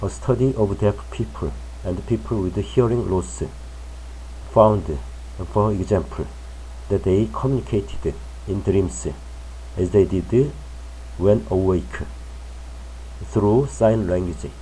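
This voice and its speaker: A man reading a magazine article aloud for English learners in a careful, measured way. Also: lowish voice, warm tone, slight background noise, low-quality recording. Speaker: English news reader.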